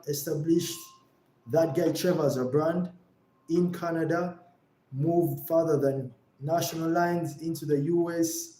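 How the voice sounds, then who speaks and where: A man's voice: a little high, warm tone, echoey room, low-quality recording. A young man from Uganda talking on a livestream, earnest and reflective, often repeating his phrases. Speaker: live streamer and creator. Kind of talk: livestream talk.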